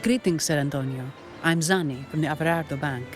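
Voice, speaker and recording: A woman's voice: sits low, brisk, natural voice. A woman playing a composed, businesslike young bank investigator in a video game, polite and matter-of-fact. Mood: polite, formal. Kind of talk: video game story dialogue. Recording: music behind the voice.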